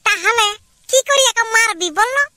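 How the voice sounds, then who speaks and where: A scheming, scornful young wife in a homemade cartoon. Her filtered voice is extremely high and piercingly bright. She nags bluntly in a Purulia Bengali accent. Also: animated, moderate pace, no echo, studio quality, exaggerated voice. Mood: conspiratorial, discontented. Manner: blunt, nagging. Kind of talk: amateur cartoon dialogue.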